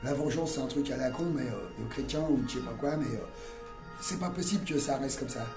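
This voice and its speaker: A man's voice: medium pitch, fairly quick, gravelly. Rambling middle-aged man, slangy and roomy. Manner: rambling, slangy, philosophizing with many fillers. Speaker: street interviewee. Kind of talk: casual street interview. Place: outdoors on a town street. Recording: roomy sound with loud music behind.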